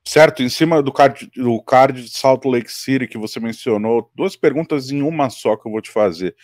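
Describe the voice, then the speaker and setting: A man in his thirties hosting an interview from a small home studio with headphones and a studio mic, asking long multi-part questions with lively emphasis. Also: mid-range voice, moderate pace, no room sound. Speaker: interviewer and show host. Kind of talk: video-call interview.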